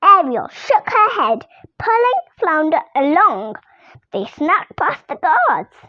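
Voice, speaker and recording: A young girl reading a children's story aloud in an expressive, deliberate storytelling manner. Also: high-pitched, no echo, highs cut off.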